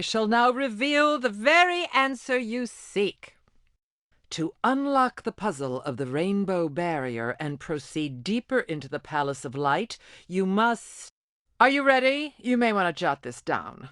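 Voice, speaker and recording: A woman's voice: medium pitch, fairly bright tone, no echo, clean studio sound. A woman playing a boastful, theatrical alien gem warrior in a cartoon game, with a pompous, grandiose way of speaking. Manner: pompous, grandiose, florid speech. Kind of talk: animated cartoon game voice acting.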